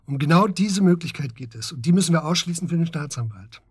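Radio drama stern, methodical male investigator: husky, clipped, insistent, very dry studio sound. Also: on the high side, lively intonation, fast, no echo. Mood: insistent, businesslike. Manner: matter-of-fact, insistent, clipped questioning. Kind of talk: naturalistic German radio drama acting.

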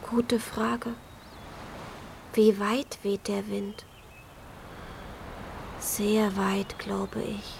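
A woman playing an earnest, caring little chick in a children's radio play, with a gentle, careful, often breathy delivery. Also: medium pitch, little pitch movement, extremely slow, pauses often, noisy background. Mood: downcast wondering. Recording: studio radio play under prominent music.

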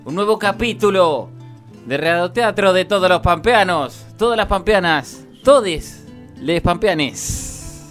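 High-pitched Argentine man narrating over music. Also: lively intonation, slow, fairly bright tone. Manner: declamatory, mock-solemn. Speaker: radio drama narrator. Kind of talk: scripted satirical narration.

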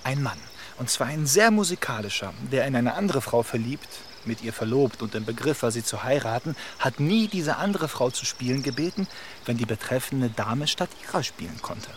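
An actor playing a charming, talkative young gentleman in a radio play, lively and gossipy. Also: mid-range voice, normal speed, slight background noise, lightly acted. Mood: sly.

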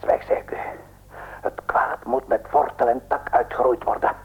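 An actor playing a deranged killer on the telephone in a radio play, with a hoarse, breathy whisper and a fanatical, mocking manner. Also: male voice, medium pitch, steady tone, normal speed, narrow, tinny audio, natural voice. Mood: frenzied. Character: deranged male killer taunting police by telephone.

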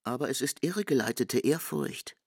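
An actor in a literary radio drama playing a stern, argumentative man who reproves in measured rhetorical questions. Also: medium pitch, animated, gravelly, darkish tone, breathy edge, no echo, studio quality. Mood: reproving.

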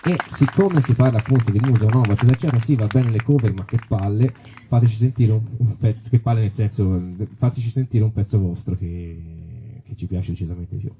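A man's voice: fairly low pitch, a little fast, warm tone, slight background noise. A man talking casually and informally from a stage at a live event, rambling a little and speaking bluntly as a presenter. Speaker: book author and presenter. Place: outdoor festival stage.

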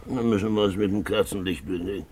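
An actor playing a boozy, jovial, lecherous gentleman in a 1950s German radio drama, gravelly and theatrical, going from grumbling mumbles to loud wheedling cries. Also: fairly low pitch, fairly even intonation, fast talker. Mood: boisterous. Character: boozy, roguish Russian gentleman found alive, jovial lecher.

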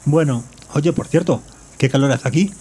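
Man acting as a higher-voiced, bewildered tech worker. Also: normal speed, dark tone, slightly rough. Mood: curious. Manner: explanatory, reasoning aloud. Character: fired male tech worker, bewildered but logical explainer. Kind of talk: amateur audio drama acting.

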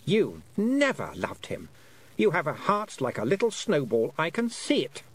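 A stubborn young girl, as a male narrator puts her on in a storybook. The voice is raised in pitch and lively, with blunt, insistent, accusing phrasing that refuses to back down. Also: unhurried, breathy edge, full character voice, rough recording. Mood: indignant. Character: stubborn, defiant young girl witch Tiffany. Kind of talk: audiobook character voice.

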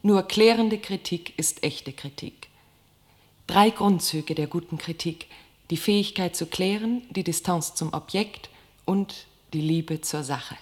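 Lowish-voiced woman reading aloud, declarative. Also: measured pace. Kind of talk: scripted reading of quotations.

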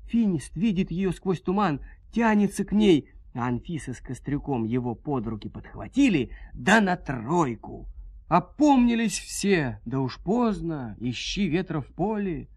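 A man narrating a scripted fairy tale in an expressive, dramatic, folksy storytelling manner. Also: fairly high pitch, a little slow, husky, no echo.

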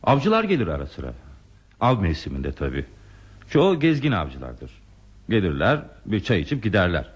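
An actor playing a lonely, modest railway signalman in a radio play, speaking in a measured, wistful, plainspoken way. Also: sits low, wildly swooping pitch, husky, dull audio, exaggerated voice. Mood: plainspoken, reminiscing. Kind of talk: Turkish radio theatre acting.

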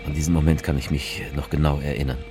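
An actor playing an evasive, self-justifying politician in a theatrical speech, speaking emphatically with deliberate pauses. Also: male voice, extremely low pitch, little pitch movement, music in the background. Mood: reminiscing. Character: male politician orating, evasive, self-justifying, folksy storyteller.

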